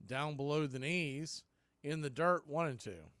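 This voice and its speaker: A man's voice: on the high side, expressive, unhurried, fairly bright tone, no echo. A man hosting live baseball play-by-play, giving punchy calls and folksy, opinionated commentary. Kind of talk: live radio sports commentary.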